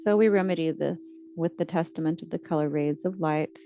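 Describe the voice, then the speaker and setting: Woman w a lowish voice reading a guided meditation in short measured phrases, clear noisy humming tone behind her. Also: warm tone. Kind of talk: scripted guided meditation narration with scripture readings.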